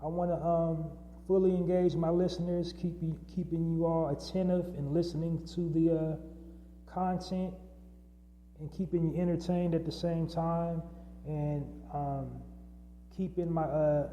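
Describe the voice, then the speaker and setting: A man hosts a solo podcast, speaking off the cuff with frequent ums and restarts. His voice sits a little high but sounds very dark and mellow. The room gives it a long reverb tail over a faint background hum. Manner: unscripted, many fillers and restarts. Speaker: podcast host.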